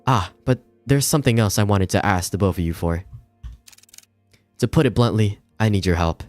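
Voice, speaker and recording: A man voicing a polite, gentle young woman in a visual novel, courteous and soft-mannered. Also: lowish voice, expressive, moderate pace, close to an everyday voice. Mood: polite request. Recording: music behind the voice.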